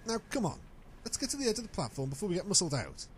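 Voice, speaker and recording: A knowing, cocky unicorn newshound played by a man in a fan audio drama. His voice is high for a man and swings very widely in pitch. There is faint noise behind him. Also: huge pitch swings, rapid-fire. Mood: urging. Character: Column, cocky gossiping male unicorn newspaper reporter. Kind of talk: amateur audio drama dialogue.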